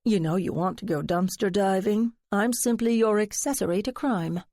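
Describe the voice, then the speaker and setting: A woman's voice: mid-range voice, normal speed, warm tone, no echo, studio quality, natural voice. A woman narrator voicing a flamboyant, dramatic man who drawls "darling" and talks with theatrical flourishes. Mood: flirty, playful. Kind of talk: audiobook character voice.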